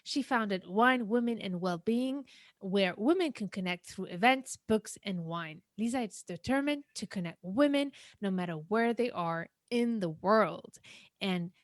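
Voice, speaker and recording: A woman's voice: mid-range voice, expressive, a little slow, no echo. Upbeat woman podcast host, dry sound. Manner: enthusiastic, effusive. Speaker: podcast host and business coach. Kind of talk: podcast interview.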